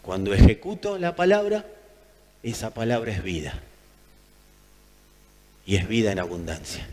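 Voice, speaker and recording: A man's voice: on the low side, wildly swooping pitch, moderate pace, darkish tone. A man with an Argentine accent preaching a sermon in an emphatic manner, repeating phrases for effect. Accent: Argentine Spanish. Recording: long hall reverb.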